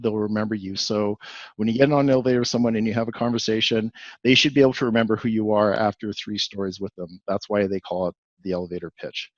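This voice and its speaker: A man's voice: fairly low pitch, dry recording, muffled recording. Rambling Canadian man giving a webinar. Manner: rambling with frequent fillers and restarts. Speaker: presenter. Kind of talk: webinar presentation.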